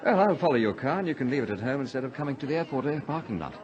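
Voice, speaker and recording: An actor playing an easygoing, hospitable amateur pilot in casual radio drama dialogue. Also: male voice, medium pitch, expressive, rapid-fire, dull audio, a crowd in the background, natural voice. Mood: relaxed.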